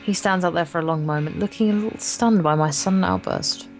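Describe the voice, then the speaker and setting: Female let's play co-host, casual game narration, lower-than-average register, faint music bed. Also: a little fast, a little mellow. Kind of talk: reading visual novel narration aloud with casual asides.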